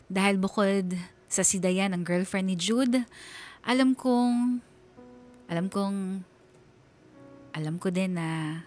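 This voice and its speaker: An introspective young woman narrates her life in a radio drama, played by a woman. Her voice sits at a middle pitch, reflective and confiding with self-doubt, over faint music. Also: lightly acted. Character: introspective, self-doubting young female letter-sender telling her story. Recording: music bed behind narration.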